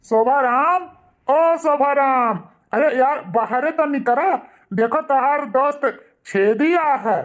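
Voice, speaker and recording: A man's voice: very high voice, takes it slowly, fairly bright tone, exaggerated voice. A man acts out an elderly village father from an Awadhi cartoon. He sounds humble and folksy, speaks with an Awadhi accent, and is hearty and devoted to his old friend. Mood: delighted, proud. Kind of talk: Hindi cartoon family drama dialogue in Awadhi.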